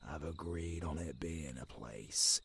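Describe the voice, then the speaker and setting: A man playing a tough, caring old werewolf mentor with a London British accent and a raspy growl, in an audio roleplay. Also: full character voice. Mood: gruff, confiding. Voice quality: very deep, raspy, gravelly growl. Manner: slow, rough, swearing, commanding.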